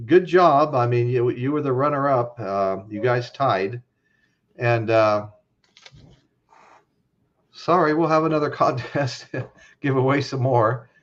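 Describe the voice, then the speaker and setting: A man's voice: mid-range voice, muffled recording. A man hosting a casual live-stream chat, rambling with lots of fillers and frequent laughs. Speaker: host.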